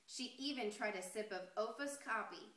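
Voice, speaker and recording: A woman reading a picture book aloud expressively and deliberately, putting questions to young listeners. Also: fairly high pitch, a bit bright. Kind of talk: expressive children's story read-aloud. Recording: roomy, echoey sound.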